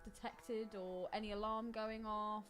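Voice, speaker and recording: A woman's voice: medium pitch, flat delivery, unhurried. A woman co-hosting a podcast in casual, bantering chat, with an irreverent, crude sense of humour. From Kingston. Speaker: podcast co-host. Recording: music playing behind. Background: music.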